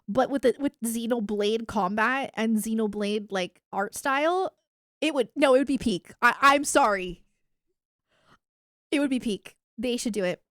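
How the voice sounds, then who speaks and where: A woman's voice: fairly high pitch, no room sound, studio quality. A woman livestreamer chatting casually with her viewers in an enthusiastic, emphatic gamer way. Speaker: video game livestreamer.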